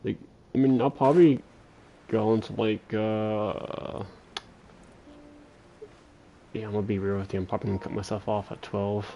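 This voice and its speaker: Laid-back, gravelly low-voiced man streaming. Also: little pitch movement, normal speed, pauses often, warm tone, muffled recording, slight background noise. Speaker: game streamer. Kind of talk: casual livestream commentary.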